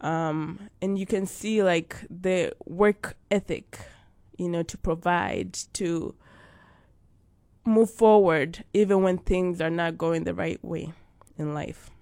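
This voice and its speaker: A woman from Uganda recounts her childhood and faith in a measured, slow way. Her voice has a gravelly edge and sits a little low. The sound is dry and close. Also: dark tone, dry recording. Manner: slow, thoughtful storytelling with fillers. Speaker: guest. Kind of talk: interview about life and faith.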